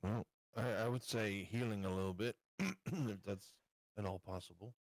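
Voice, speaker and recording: A man's voice: lowish voice, moderate pace, no echo. A man playing a tabletop game, talking casually and tentatively.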